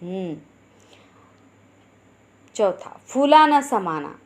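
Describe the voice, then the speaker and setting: A woman teaching a Hindi lesson to schoolchildren, slowly explaining idioms and sayings, asking questions and then answering them herself. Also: mid-range voice, sing-song, a little mellow.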